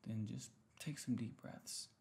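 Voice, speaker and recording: A man's voice: medium pitch, fairly even intonation, a little slow, creaky, a little mellow, breathy edge. A young man talking casually to viewers in an ASMR style, with a soothing, affectionate, slangy manner. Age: young adult. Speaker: ASMR video creator. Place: small room at home, indoors. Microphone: desk mic close in front.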